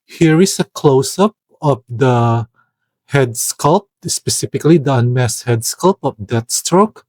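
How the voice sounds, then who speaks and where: A man's voice: mid-range voice, animated, takes it slowly, a little mellow, no echo. Man reviewing an action figure. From Philippines. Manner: hesitant, searching for words, careful. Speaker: toy reviewer. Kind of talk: product review voice-over.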